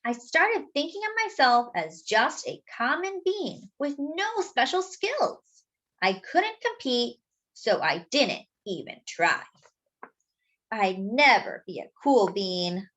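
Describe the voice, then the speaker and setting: A woman reading a children's picture book aloud, pausing to point out details in the pictures. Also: fairly high pitch, sing-song, a bit bright. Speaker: children's storyteller.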